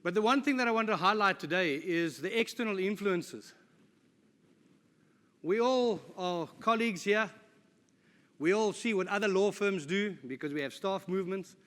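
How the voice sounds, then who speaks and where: Bright-voiced man in a hall. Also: sits high, normal speed. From South Africa. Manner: jokey, informal, with self-deprecating asides. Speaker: conference speaker. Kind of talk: conference presentation. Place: conference hall. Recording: live talk in a reverberant hall.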